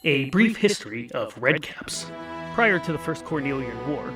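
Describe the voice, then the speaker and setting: A man's voice: fairly high pitch, big pitch swings, moderate pace, over music. A man narrating a scripted comic fantasy story in a wry, mock-scholarly manner.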